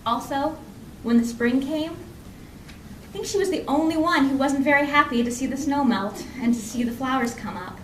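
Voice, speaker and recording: A woman telling a folk tale live in an expressive, conversational way, lingering on repeated phrases. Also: high voice, moderate pace. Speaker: storyteller. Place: indoor library program before an audience. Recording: echoey room from far off, faint noise.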